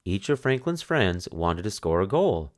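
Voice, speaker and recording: Deep, slow-reading male storyteller. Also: very animated. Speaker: narrator. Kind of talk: children's book read-aloud.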